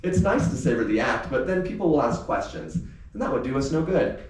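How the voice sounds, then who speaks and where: A man's voice: medium pitch, very animated, brisk, darkish tone, pitched above the performer's own voice, close to an everyday voice. A man voicing a smiling, genteel murderous husband in a thriller read aloud, upbeat about violence. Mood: pleasant, calculating. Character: smiling, polite murderous husband who savors the kill. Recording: roomy, echoing live reading, distant mic, faint noise.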